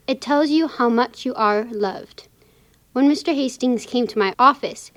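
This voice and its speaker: A woman playing a kind, professional lawyer in a children's puppet show, explaining things gently to a child. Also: fairly high pitch, close to an everyday voice.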